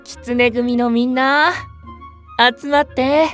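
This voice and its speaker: An actor playing a gentle, eccentric young male nursery teacher, boyish and earnest, in an anime drama. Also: very high voice, fairly even intonation, takes it slowly, faint music behind, exaggerated voice. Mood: cheerful.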